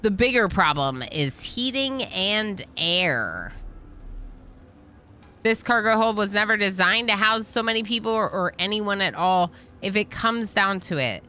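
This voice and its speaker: A woman's voice: medium pitch, sing-song, measured pace, sharp tone. A woman reading game dialogue aloud as a weary, brooding colony engineer who grumbles and explains. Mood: weary, grumbling.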